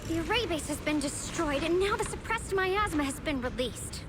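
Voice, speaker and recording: An actress voicing an earnest, concerned young heroine in a dramatic game. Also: female voice, extremely high pitch, lively intonation, moderate pace, background noise.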